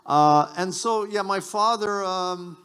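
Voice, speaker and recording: A man's voice: sits high, slow, a bit bright, clean tone. A man whose first language is Japanese, doing stand-up on a community event stage, self-mocking and rambling with stammered restarts. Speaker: stand-up comedian. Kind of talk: stand-up comedy set.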